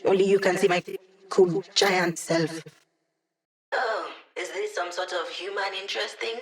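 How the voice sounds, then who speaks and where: A woman's voice: lowish voice, steady tone, a little slow, distant mic, a crowd in the background, natural voice. An actress voicing a sassy, cocky young female hacker in a video game, with wry, teasing and sarcastic delivery. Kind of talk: video game character voice lines.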